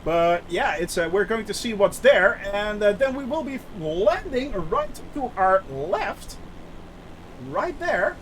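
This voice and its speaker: A man's voice: high-pitched, very animated, slow, slight background noise, low-quality recording. A man with a Dutch accent, chatting casually on a flight-sim live stream, full of filler sounds and answering chat.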